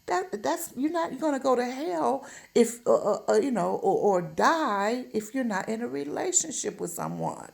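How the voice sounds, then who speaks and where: A woman's voice: fairly high pitch, big pitch swings, normal speed, vocal fry, hardly any pauses. A woman giving an informal relationship advice monologue, speaking emphatically and bluntly, admonishing and repeating phrases for stress. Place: at home.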